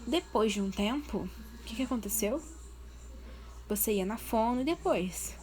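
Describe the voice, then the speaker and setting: A woman's voice: a little high, lively intonation, a little slow, darkish tone, soft background music, low-quality recording. A woman with a Brazilian Portuguese accent, talking straight to her viewers in a casual vlog and pressing her points with repeated questions. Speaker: vlogger voicing her deaf partner's signing.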